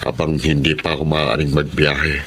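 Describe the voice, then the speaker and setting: Deep-voiced, steadfast loving husband. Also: male voice, flat delivery, moderate pace, a bit bright, slight background noise. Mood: tender. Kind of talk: Filipino radio drama acting.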